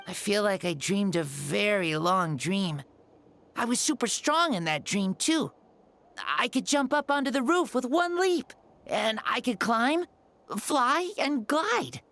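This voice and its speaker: A woman voicing a shy, frail young boy in a video game, who speaks softly and haltingly. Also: medium pitch, creaky, exaggerated voice. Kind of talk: game voice acting.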